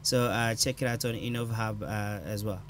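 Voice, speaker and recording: A man's voice: lowish voice, steady tone, normal speed, fairly bright tone. A man co-hosting a tech show, pointing viewers to programs in a casual, upbeat way. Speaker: co-host.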